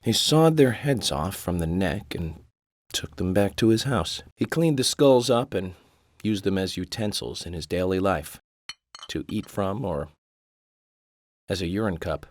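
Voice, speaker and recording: A man playing an eccentric, polite FBI agent in a video game, speaking in a measured, deadpan, blithely morbid way. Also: low voice. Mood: matter-of-fact, morbid. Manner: deadpan, measured, matter-of-fact about grisly things. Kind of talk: video game cutscene acting.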